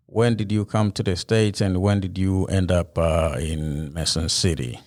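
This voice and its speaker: A man's voice: low voice, steady tone, unhurried, darkish tone, dry recording, studio quality. A man hosting a podcast, asking questions in a conversational interview style. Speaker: podcast host.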